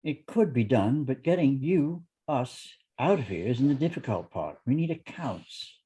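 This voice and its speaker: A man reading a careful, formal businessman plotting an escape in a drama script, speaking in a measured way. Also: mid-range voice, expressive, warm tone, slightly rough. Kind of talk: table read of a TV drama script.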